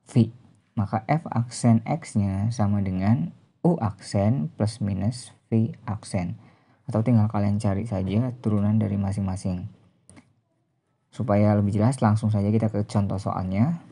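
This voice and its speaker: Male tutor, lowish mellow voice, methodical instructional delivery. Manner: methodical, explanatory, pausing for emphasis. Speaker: math teacher. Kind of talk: step-by-step instructional lesson.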